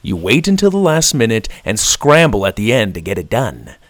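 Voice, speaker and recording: A man's voice: lowish voice, big pitch swings, normal speed. A man voicing a patient father in a storybook who explains things and teases dryly. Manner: explaining, dry teasing. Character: patient dad, man, gently teasing.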